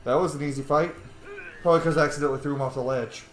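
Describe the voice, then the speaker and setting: A man's voice: a little high, steady tone, racing, a bit bright. A man giving casual, slangy, reactive commentary while playing a video game. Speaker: game streamer. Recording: roomy echo, faint noise.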